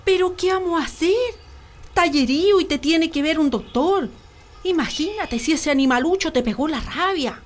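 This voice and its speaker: A woman's voice: high-pitched, moderate pace, close to an everyday voice. A woman playing an anxious, nagging country wife in a radio drama, fretful and urgent, with a Chilean accent. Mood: worried.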